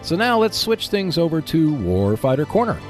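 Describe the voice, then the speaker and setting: A man hosting a hobby show, giving explanatory, semi-scripted commentary in a measured, emphatic way. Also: on the high side, sing-song, music in the background. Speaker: host of a board game video show.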